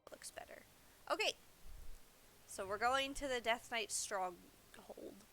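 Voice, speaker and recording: Bright-voiced woman streaming a game casually. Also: a little high, slow pace, a little hiss or hum. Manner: informal, rambling, self-interrupting. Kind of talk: casual live gameplay commentary, explaining story.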